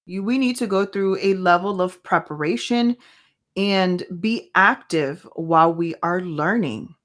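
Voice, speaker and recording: A woman's voice: on the low side, unhurried, fairly bright tone. A woman whose first language is Spanish, hosting her own faith podcast in an earnest, conversational monologue that often asks herself questions. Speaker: podcast host.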